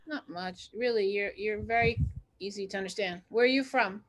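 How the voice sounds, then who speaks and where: A woman's voice: medium pitch, moderate pace. A woman hosting a book group over a video call, offering short, friendly and encouraging replies. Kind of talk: casual video call chat.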